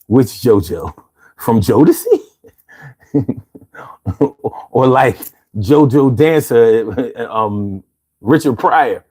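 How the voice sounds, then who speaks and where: A man's voice: mid-range voice, animated, very slow, pauses often, a little mellow, husky. A man hosting a casual live stream, reading out viewer comments with laid-back, chuckling talk, from the United States.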